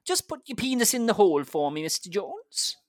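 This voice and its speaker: A man voicing a brisk, loud middle-aged West Indian nurse, putting on a West Indian accent. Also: very animated, close to an everyday voice. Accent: West Indian (put on). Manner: booming matter-of-fact orders.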